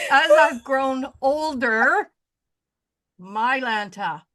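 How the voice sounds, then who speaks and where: A woman in her sixties talks in a rambling, casual way, stopping to hunt for her train of thought. Her voice sits a little high, and she pokes fun at herself. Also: fairly bright tone. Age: 60s. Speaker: podcast co-host. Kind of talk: casual chat.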